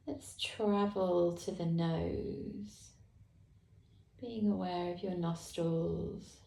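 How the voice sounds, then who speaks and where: A woman teacher leading a guided meditation, speaking softly and calmly with long pauses. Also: fairly low pitch, gravelly, reverberant. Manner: slow, soft, calm guidance with long pauses.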